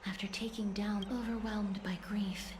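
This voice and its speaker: A woman voicing a polite, reserved young woman in a fantasy game, soft-spoken, formal, hesitant and wistful. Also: mid-range voice, level tone, normal speed, reverberant, background noise, natural voice. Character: gentle, melancholy young female poetry lover, polite and reserved. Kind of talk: fantasy video game acting.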